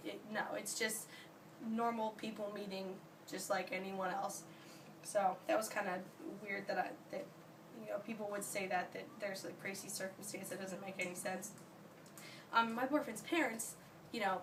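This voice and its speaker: A young woman who is hoarse from being sick gives an earnest class speech, halting often and restarting her sentences. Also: mid-range voice. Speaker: student giving a speech. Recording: distant mic, faint ambient noise.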